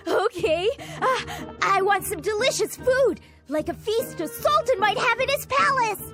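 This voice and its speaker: Excitable, very high-pitched little boy. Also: unhurried, music in the background. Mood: eager. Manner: exclaiming, expressive, hesitant. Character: earnest, excitable young boy adventurer. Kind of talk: children's animated fairy tale acting.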